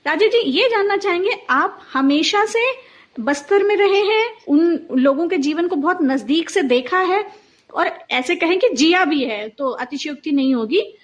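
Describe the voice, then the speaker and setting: A woman's voice: very high-pitched, lively intonation, measured pace. A woman with an Indian accent hosting a talk programme, addressing listeners and her guest conversationally. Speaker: interview host. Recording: dull, band-limited online audio.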